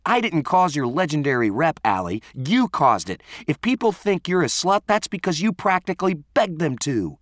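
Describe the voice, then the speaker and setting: A man's voice: on the high side, very animated, takes it slowly, few pauses, husky, no room sound, clean studio sound, voice pushed up, full character voice. A man voicing a spiteful, hot-headed teenage girl who hollers confrontationally in an audiobook story. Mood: furious, taunting.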